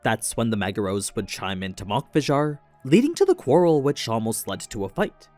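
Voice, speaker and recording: A man reading scripted narration in a measured explainer manner, as for a recap video. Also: medium pitch, sing-song, a little mellow. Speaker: narrator of an anime lore recap show. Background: background music.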